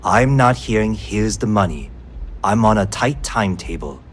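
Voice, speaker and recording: An actor playing a greedy, shady underworld seller in a video game, curt and goading. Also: male voice, lowish voice, expressive, normal speed, slight background noise, close to an everyday voice. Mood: demanding. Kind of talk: video game dialogue acting.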